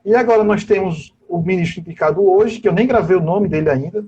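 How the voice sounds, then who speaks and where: A fairly high-voiced man with a husky, slightly breathy voice, giving an interview. He talks at length in a discursive way and keeps tagging his sentences with little questions. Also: quick pace, warm tone, dull audio. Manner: discursive, with constant tag questions. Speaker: interviewed teacher. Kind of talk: political interview over a live stream.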